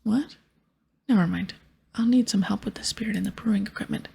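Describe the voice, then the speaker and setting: A woman's voice: medium pitch, fairly quick, vocal fry, warm tone, slight background noise. A woman voicing a wry female adventurer in game dialogue, whispering breathily. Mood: wry, puzzled. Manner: wry, questioning.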